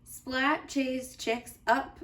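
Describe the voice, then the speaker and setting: A woman teacher reading a children's storybook aloud with deliberate, expressive phrasing for young listeners. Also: sits high, recorded from a distance.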